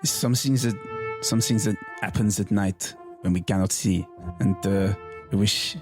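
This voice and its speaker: A man's voice: lowish voice, steady tone, moderate pace, over music, natural voice. A man playing a suspicious, hesitant Frenchman in a tabletop game, speaking cryptically. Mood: cryptic, uneasy. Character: secretive, suspicious, hard-drinking Frenchman.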